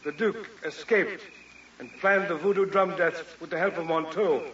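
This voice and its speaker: An actor playing a vengeful, scheming French duke who poses as a police inspector, in a formal old radio melodrama style. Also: male voice, on the high side, normal speed, a bit bright, dull audio, close to an everyday voice. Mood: accusing. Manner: theatrical, formal. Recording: distant, echoey, hissy vintage radio recording.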